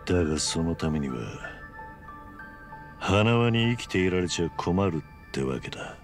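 A man playing a stoic, stubborn middle-aged ex-yakuza in a video game, speaking in a terse, gruff, guarded way. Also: sits low, lively intonation, normal speed, soft background music. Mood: wary. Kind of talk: dramatic video game cutscene acting.

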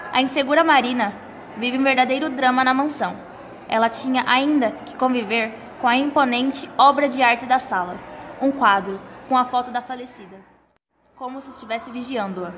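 A woman's voice: high-pitched, a bit bright, big-room sound, a little hiss or hum. A woman narrating an amateur radio play from a script, telling a society melodrama.

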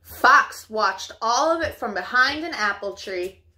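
High-voiced, animated young woman reading. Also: a little slow. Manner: animated, clearly paced for children. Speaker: storytime host. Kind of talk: expressive children's picture-book reading. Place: living room, indoors.

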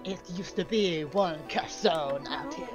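Low, very slow, theatrical vain Frenchman with a fake French accent, game music behind, a woman putting it on. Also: lively intonation. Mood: flirtatious. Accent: put-on French.

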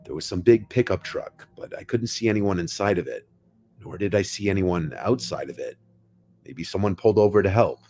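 Very deep-voiced male horror narrator. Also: normal speed, a little mellow. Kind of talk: scripted first-person scary story narration. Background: music behind the voice.

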